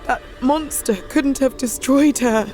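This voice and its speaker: A woman's voice: sits high, a little slow, darkish tone, soft background music. An actress playing an anxious, devoted young Victorian wife, reading her journal in a wistful, emotional way for an audio drama. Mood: anxious, sorrowful.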